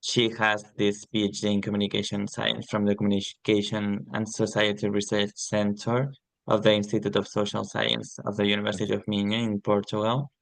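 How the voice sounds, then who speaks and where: A man from Barcelona with a Spanish accent, hosting an online class and introducing a guest. He talks haltingly and stumbles over names. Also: on the low side, monotone, creaky, no room sound, rough recording. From Barcelona, Spain. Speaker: course host. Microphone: video call.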